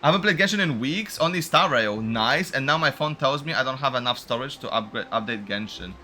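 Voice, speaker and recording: A man's voice: mid-range voice, expressive, brisk, sharp tone. A young man doing casual livestream game commentary, upbeat and slangy as he chats with his viewers. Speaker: game streamer. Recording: music playing behind the voice.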